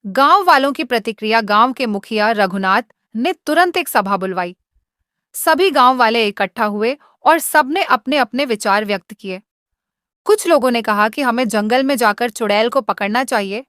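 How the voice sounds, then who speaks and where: A woman's voice: fairly high pitch, fairly bright tone, no echo, studio quality. A woman reading a scripted moral tale as a storyteller.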